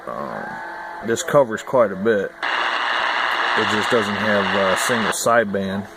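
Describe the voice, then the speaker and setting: American guy w low voice just rambling casually bout his hobby, folksy n laid back, lots of noise behind him. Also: lively intonation, a little slow. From United States. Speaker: hobbyist vlogger. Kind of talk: casual rambling chat to camera. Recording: noisy amateur camera audio.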